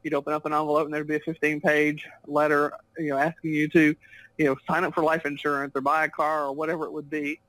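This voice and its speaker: A woman's voice: sits low, level tone, quick pace, fairly bright tone, poor audio. A woman with a Texan accent, a guest author and business coach answering interview questions in a chatty, fluent way over a remote call. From Texas, US. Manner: chatty and fluent, often laughs while talking.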